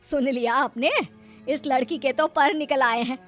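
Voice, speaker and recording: A nagging mother of a grown daughter, voiced by a woman, high in pitch and disapproving. She scolds and taunts in cartoon-story style over faint music. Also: steady tone, moderate pace, natural voice. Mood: taunting. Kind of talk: Hindi cartoon story acting.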